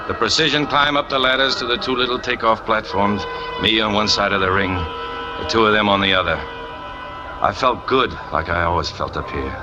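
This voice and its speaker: An actor playing a brooding trapeze artist who confesses in an intense, dramatic first-person radio thriller narration. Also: male voice, on the low side, natural voice. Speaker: narrating lead character.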